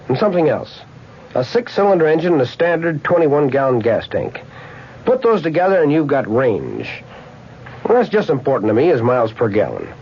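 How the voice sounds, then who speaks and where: A man's voice: medium pitch, slight background noise. A man speaking a folksy, chatty testimonial in an old radio commercial. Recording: dull old radio broadcast.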